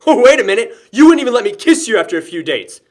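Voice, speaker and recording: A man's voice: very high-pitched, sing-song, racing. An actor playing a lovesick, sarcastic young man in a naturalistic film drama, speaking emotionally. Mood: hurt, enraged. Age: young adult.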